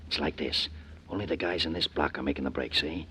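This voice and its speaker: Low-voiced, hard, bossy gangster convict. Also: male voice, fairly quick, pushed and bright. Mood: conspiratorial. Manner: terse gangster slang, bossy. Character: hard, suspicious convict leader plotting a prison break. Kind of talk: 1940s radio crime drama acting. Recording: noisy 1940s radio recording.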